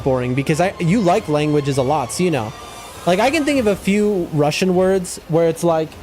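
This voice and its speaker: A young man streaming a game, shouting during fights and rambling to his chat between them. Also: a little high, a little hiss or hum. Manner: excitable, loud outbursts during fights; rambling, many fillers and restarts when chatting. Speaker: gaming streamer. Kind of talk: live gameplay commentary and chat talk.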